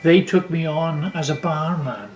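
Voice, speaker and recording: An older man from Wales telling stories from his life casually, haltingly, repeating words as he recalls them. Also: fairly high pitch, noisy background. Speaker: father telling family stories. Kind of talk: casual storytelling, reminiscing. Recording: roomy, echoey home recording.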